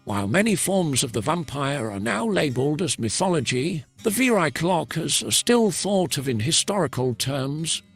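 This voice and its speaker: Higher-voiced, slightly husky man narrating. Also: very animated, normal speed, hardly any pauses, faint music behind. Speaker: co-host and narrator. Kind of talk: scripted narration.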